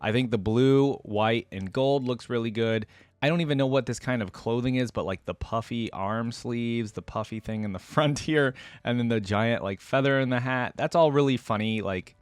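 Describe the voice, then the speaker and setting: A man giving casual reaction commentary as a video host, informal and thinking out loud as he goes. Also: medium pitch, moderate pace, smooth, dry recording.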